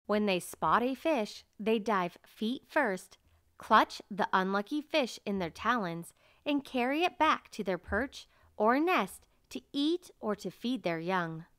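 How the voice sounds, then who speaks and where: A woman reading a children's nature picture book aloud as narrator, in a measured, carefully enunciated way. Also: medium pitch, expressive, fairly bright tone, smooth, no echo, clean studio sound.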